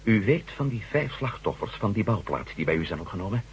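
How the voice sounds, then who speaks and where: A man's voice: on the low side, sing-song, a little fast, slightly rough, full character voice. A man playing an impulsive, decisive reporter and adventurer in an old radio drama. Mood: insistent. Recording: noisy, dull old radio recording.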